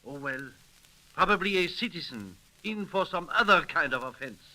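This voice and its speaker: An actor playing a brusque, suspicious French revolutionary soldier with a gravelly voice, in 1930s radio style. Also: male voice, fairly high pitch, expressive, normal speed, sharp tone, rough recording. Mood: suspicious, musing.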